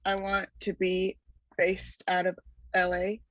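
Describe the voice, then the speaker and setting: Flat-voiced woman on a muffled call. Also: on the low side, slow pace, dry recording. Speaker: workshop participant. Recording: dry, muffled video-call audio.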